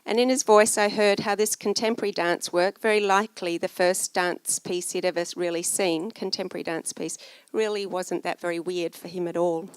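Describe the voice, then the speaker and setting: A woman's voice: fairly low pitch, fairly even intonation, a little fast, clean tone, no echo, low-quality recording. A woman telling a story as a conference panel speaker. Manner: storytelling, acting out quoted voices. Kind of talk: storytelling talk. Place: conference room.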